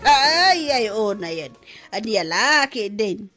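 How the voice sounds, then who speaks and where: Lady doing a feisty ilocano village woman, really high voice, exclaims and scolds, comedy drama. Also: very animated, slow pace, loud music behind the voice. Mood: complaining.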